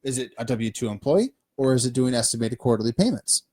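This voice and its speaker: A man with a Midwestern American accent co-hosting a business podcast, talking in an animated, emphatic way and listing points off one by one. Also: mid-range voice, quick pace. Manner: animated, emphatic, lists things off. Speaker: podcast co-host. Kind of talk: casual business chat. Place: studio.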